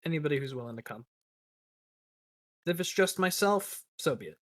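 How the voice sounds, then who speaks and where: A man's voice: on the high side, animated, normal speed, no room sound, studio quality. A man playing in a tabletop roleplaying game, improvising in character in an earnest, terse way.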